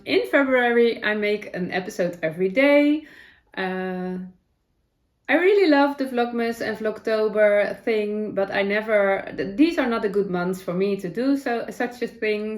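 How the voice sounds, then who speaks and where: Dutch-accented woman chatting in a roomy echo. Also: medium pitch. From Netherlands. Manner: rambling, many fillers and self-corrections. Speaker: knitting vlogger. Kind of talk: casual chat. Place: home.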